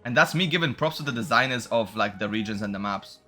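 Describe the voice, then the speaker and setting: A man's voice: fairly low pitch, expressive, quick pace, pushed and bright, faint music behind. A man giving casual, unscripted commentary as he plays a video game, musing and thinking aloud. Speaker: streamer playing a video game.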